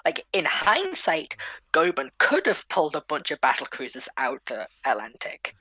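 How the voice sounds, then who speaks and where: A man's voice: fairly high pitch, huge pitch swings, normal speed, pushed and bright, slightly rough, no echo, rough recording. A man speaking as a panellist in a casual debate, blunt and opinionated. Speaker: panellist in a history discussion.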